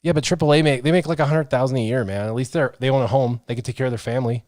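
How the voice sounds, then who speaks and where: A man's voice: mid-range voice, fairly even intonation, racing, a little mellow, dry recording. American man bantering in a dry-sounding space. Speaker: show host. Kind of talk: casual chat.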